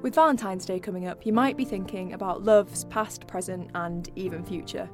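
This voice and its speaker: A woman's voice: medium pitch, moderate pace. Woman hosting over music. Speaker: podcast host. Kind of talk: scripted introduction.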